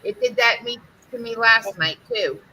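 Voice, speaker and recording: High, bright-voiced chatty woman host. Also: normal speed, a little hiss or hum, poor audio. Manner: chatty and exclamatory. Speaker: live stream host. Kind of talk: casual video chat.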